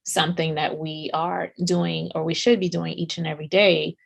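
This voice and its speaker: A woman's voice: deep voice, level tone, normal speed, gravelly, background noise. A woman hosting a business podcast interview, asking questions and praising her guest effusively. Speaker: podcast host.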